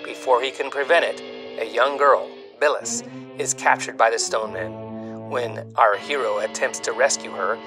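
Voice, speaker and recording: A man's voice: mid-range voice, fairly even intonation, normal speed. Man narrating theatrically over guitar music. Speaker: podcast segment presenter. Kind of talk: scripted magazine-review narration. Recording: music bed under the voice.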